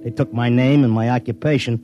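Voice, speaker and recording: A streetwise, cynical racetrack hustler, played by a male actor in an old radio drama. His mid-pitched voice is blunt and wry, full of slangy swagger, with music playing underneath. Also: steady tone, fairly quick, highs cut off, lightly acted. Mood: wry, narrating. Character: streetwise, cynical racetrack wise guy devoted to his wife. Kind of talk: classic radio drama acting and narration.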